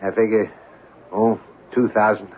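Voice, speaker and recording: Low-voiced, weary working man, acted by a man. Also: little pitch movement, measured pace, natural voice. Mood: bitter. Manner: plain-spoken, colloquial. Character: down-on-his-luck working man, trucker, weary and bitter. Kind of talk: old-time radio drama acting. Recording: noisy lo-fi narrow-band radio broadcast.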